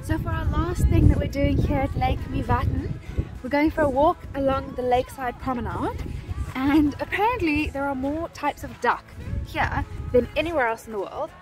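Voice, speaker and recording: Brief: high, cheerful young woman filming herself outdoors on a phone, laying out the day's plans, over loud background music. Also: normal speed, low-quality recording. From South Africa. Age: young adult. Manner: cheerful, chatty, plans the day aloud. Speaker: travel vlogger. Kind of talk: casual travel vlog to camera. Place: outdoors, windy open countryside. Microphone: phone held at arm's length.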